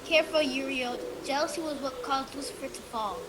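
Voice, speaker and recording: A woman playing a dutiful, watchful guardian angel with composed, deliberate delivery in a radio play. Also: sits high, lightly acted. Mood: friendly, watchful. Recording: very noisy home recording, ambience.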